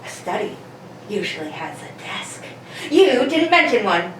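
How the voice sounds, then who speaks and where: A woman's voice: mid-range voice, big pitch swings, unhurried. A woman playing a clever, impatient detective son in an amateur comedic radio play, with a wry, explaining manner. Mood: reasoning. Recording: echoey room, distant mics, noisy.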